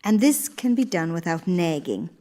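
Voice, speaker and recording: An actress playing a principled, dignified older in live radio theater, speaking in a measured, formal and earnest way. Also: female voice, fairly low pitch, very animated, dark tone. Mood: firm.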